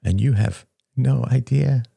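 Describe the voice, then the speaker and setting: A man's voice: medium pitch, measured pace, creaky, slightly rough, no echo, voice pushed up, exaggerated voice. Man voicing a bitter, wounded man, sharply sardonic. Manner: soft-spoken turning agitated and sardonic. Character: Shane, bitter wounded man lashing out at friends. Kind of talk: dramatic audiobook character dialogue.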